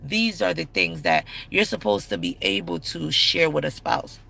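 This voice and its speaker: A woman's voice: deep voice, huge pitch swings, moderate pace, vocal fry, sharp tone. A woman in her thirties giving relationship advice in a blunt, candid, slangy way. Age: 30s. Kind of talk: casual advice talk to camera.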